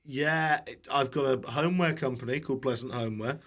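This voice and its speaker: A man's voice: mid-range voice, fairly quick, a bit bright. Rambling British male podcast guest. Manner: self-deprecating, rambling, playful. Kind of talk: casual chat.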